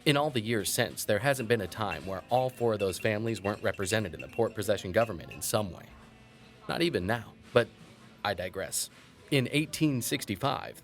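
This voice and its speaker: A man playing a chatty small-town historian in an audio drama, telling local lore in a folksy, wry, digressive way. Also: fairly low pitch. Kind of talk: conversational storytelling in an audio drama.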